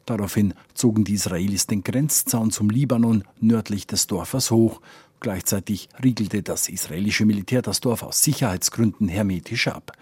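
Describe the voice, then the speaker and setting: A male reporter narrating a scripted news feature in a measured, matter-of-fact way. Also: on the low side, darkish tone, breathy edge, no echo.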